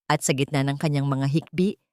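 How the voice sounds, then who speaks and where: A woman narrating a romantic drama story in a measured, emotive storytelling manner. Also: a bass voice, fairly even intonation, dry recording, studio quality.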